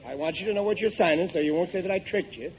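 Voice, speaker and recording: A man's voice: high-pitched, quick pace, darkish tone, a little hiss or hum, rough recording. A man playing a gullible, cocky working-class father in a 1950s radio comedy, exclaiming in ungrammatical everyday speech. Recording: vintage 1950s radio recording.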